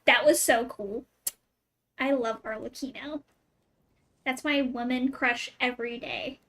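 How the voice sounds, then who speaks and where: A woman's voice: fairly high pitch, steady tone, unhurried, fairly bright tone. A woman streamer giving casual, chatty gameplay commentary like a gushing fan. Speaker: streamer playing a video game.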